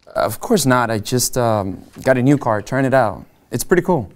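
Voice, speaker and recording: A man playing an eager, cocky but jittery rookie realtor in a screenplay table read, speaking conversationally and a bit haltingly. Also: mid-range voice, expressive, natural voice. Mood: flirty, cocky.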